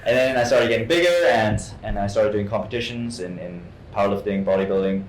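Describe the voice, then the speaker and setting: Man, low pitch, from Hong Kong, halting delivery, repeated words, interview answers, echoey room, faint noise. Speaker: guest actor. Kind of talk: informal artist talk, answering questions.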